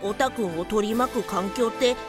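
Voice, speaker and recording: A woman's voice: mid-range voice, normal speed, close to an everyday voice. A woman playing a talking dog sidekick in a radio drama, with a dramatic, declaring delivery. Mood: sympathetic. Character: talking dog sidekick ending sentences with wan. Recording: music behind the voice.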